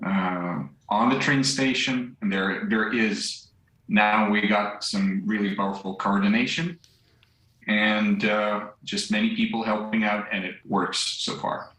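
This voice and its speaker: A young man from central Ukraine with a Ukrainian accent, answering a live news interview in English in a halting way, searching for words. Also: on the low side, steady tone, pushed and bright. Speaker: volunteer driver interviewed live. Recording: echoey remote link.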